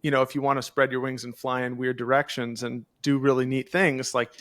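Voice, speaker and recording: A man's voice: medium pitch, little pitch movement, brisk, a bit bright, no room sound, clean studio sound. A man hosting an interview, talking casually in an informal, rambling way. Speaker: podcast interviewer, host. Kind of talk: casual conversational commentary.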